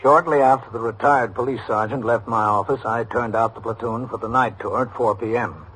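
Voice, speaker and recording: An actor playing an American police captain, narrating formally and officially in an old-time radio drama. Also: male voice, medium pitch, fairly even intonation, a little fast, fairly bright tone, husky. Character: formal, procedural male police captain narrating his case. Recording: noisy old radio recording.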